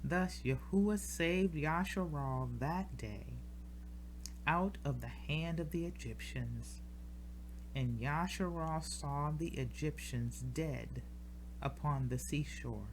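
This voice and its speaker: A woman reads scripture aloud in a solemn, measured style, pausing deliberately between short phrases. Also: low voice, noisy background. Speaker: scripture reader. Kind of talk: solemn scripture reading from the King James Bible.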